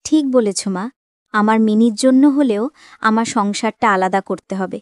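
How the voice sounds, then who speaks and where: A woman's voice: medium pitch, moderate pace, dry recording, studio quality, close to an everyday voice. An actress playing a young wife and mother in a cartoon drama, coaxing and easily aggrieved, with an insistent, emotive manner. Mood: complaining.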